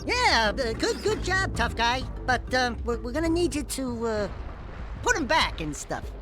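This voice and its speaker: A man's voice: very high voice, sing-song, a little slow, exaggerated voice. A man voicing a wisecracking small furry male animal sidekick in a video game, full of sarcastic, mocking quips. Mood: hesitant. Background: game music.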